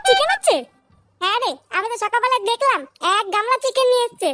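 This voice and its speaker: A performer voicing a brash, crude, excitable cartoon boy, in a shrill, pitched-up voice. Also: fast, over music. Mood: brash, excited. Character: foul-mouthed cartoon boy, loud, cheeky, excitable drinking pal. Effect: pitch-shifted up. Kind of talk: comic cartoon dubbing.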